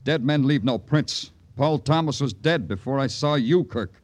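An actor playing a terse, grim New York police detective in an old radio crime drama, with a clipped, world-weary delivery. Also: male voice, mid-range voice, lively intonation, normal speed, no room sound, lightly acted. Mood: probing, cold. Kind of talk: 1940s-50s radio crime drama acting. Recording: old radio broadcast, music underneath.

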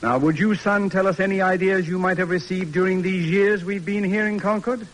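A man's voice: high-pitched, steady tone, brisk, lightly acted. An actor playing a stern, articulate middle-aged American judge and father, formal and dryly sarcastic, in an old-time radio drama. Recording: noisy vintage radio recording.

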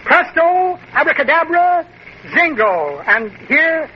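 A man's voice: very high-pitched, very animated, slow, exaggerated voice. An actor playing a pompous, bumbling male con-man magician in a 1940s radio comedy, talking grandly and coughing. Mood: blustering. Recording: dull, hissy old radio recording.

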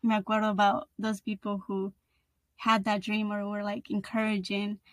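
A woman's voice: mid-range voice, monotone, normal speed, no echo. Female podcast host, 30s, mid-range voice, informal, code-switches between Spanish and English, dry acoustic. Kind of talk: casual conversation.

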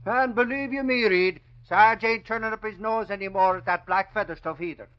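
Man acting blustering high-pitched newspaper reporter. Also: a little fast, lightly acted. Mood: flustered, emphatic. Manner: blustery, colloquial, folksy exclamations. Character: excitable, blustering, brash male newspaper reporter. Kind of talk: 1940s radio drama acting. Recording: dull old radio recording.

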